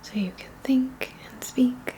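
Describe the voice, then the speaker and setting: Woman whispering asmr reiki stuff, super slow, soothing little phrases w pauses. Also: high-pitched, dark tone. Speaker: reiki practitioner and ASMR host.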